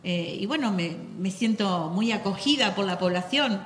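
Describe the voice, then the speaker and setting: A woman's voice: medium pitch, expressive, normal speed, slight background noise. Earnest Argentine woman teacher, studio echo. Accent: Argentine Spanish. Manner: earnest, listing, many fillers. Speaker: teacher of economics, guest. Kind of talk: studio interview answers. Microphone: handheld mic.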